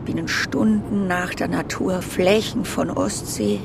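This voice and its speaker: A detached woman speaks haltingly in a radio drama monologue. Her voice has a creaky, gravelly edge and moves slowly in fragments, over a clear rumble of traffic. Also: very animated, heavily acted. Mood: halting, unsure. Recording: clear vehicle rumble behind voice.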